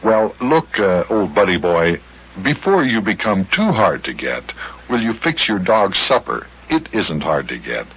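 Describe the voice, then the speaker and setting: A man with an American accent playing a patient, dryly amused grown-up who gently scolds a boy in a children's radio serial. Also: deep voice, very animated, unhurried, breathy edge, full character voice. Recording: hissy, dull old radio recording.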